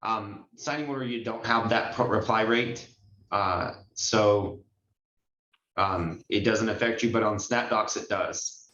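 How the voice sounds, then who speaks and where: A man's voice: fairly low pitch, unhurried, a bit bright. A man hosting a conversational Q&A on a group call, explaining and advising with emphasis. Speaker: host and notary trainer taking questions. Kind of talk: conversational Q&A advice. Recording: muffled, roomy online call audio.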